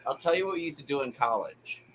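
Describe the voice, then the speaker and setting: A man's voice: medium pitch, animated, fast, fairly bright tone, a little hiss or hum, poor audio. A man in his thirties telling stories in a casual chat, laughing at his own jokes.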